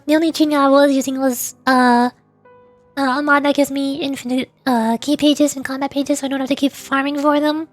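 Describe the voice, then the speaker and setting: A high-pitched woman talking casually on a livestream, rambling from one thought to the next and replying to her viewers as she goes. Faint music plays quietly behind her voice. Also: dry recording. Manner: rambling, chatty, answers viewers' questions. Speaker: streamer.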